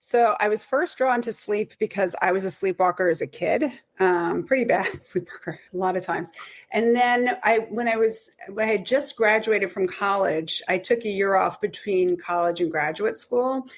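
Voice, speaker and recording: Middle-aged woman, slightly hoarse. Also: mid-range voice, normal speed. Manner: talks in restarts and self-corrections. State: voice going hoarse. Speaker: sleep medicine expert guest. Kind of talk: conversational interview answers.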